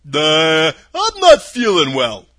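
A man voicing a friendly, mournful, simple-minded scarecrow in a storybook, husky and hesitant, often opening with a drawn-out "uh". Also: on the high side, wildly swooping pitch, fairly bright tone, full character voice. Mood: weary, plaintive. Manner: hesitant, opens phrases with drawn-out "uh".

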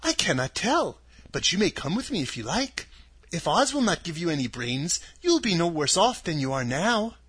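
A man's voice: fairly high pitch, very animated, moderate pace, a bit bright, breathy edge, no room sound, heavily acted. A man voicing a polite, earnest, kind-hearted little girl in a storybook, full of wonder. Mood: sympathetic.

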